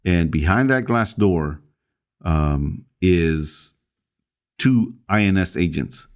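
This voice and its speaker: A man from El Salvador, a pastor, recounting his story as a podcast guest in a halting way, with many fillers and restarts. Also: sits low, expressive.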